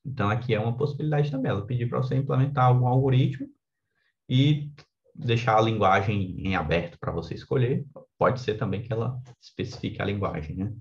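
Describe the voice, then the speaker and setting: A man's voice: medium pitch, brisk. Male exam coach, Brazilian accent, mid-range voice, informal lecture with frequent check-in tags, slightly lo-fi sound. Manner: conversational, frequent tag questions seeking agreement.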